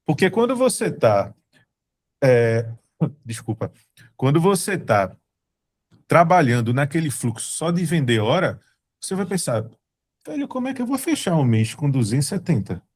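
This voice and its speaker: A man's voice: on the high side, sing-song, normal speed, long pauses, a little mellow. A man with a Brazilian accent from Recife, presenting to an online meeting in a casual, emphatic way, repeating his points. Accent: Brazilian Portuguese, from Recife. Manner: emphatic, repeats points, talks straight to the audience. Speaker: studio co-founder presenting.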